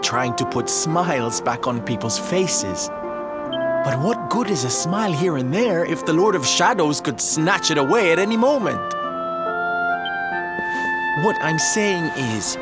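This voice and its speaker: An actor playing a flamboyant, warm-hearted male troupe leader in a video game cutscene, with camp, affectionate delivery. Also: medium pitch. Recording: music behind the voice.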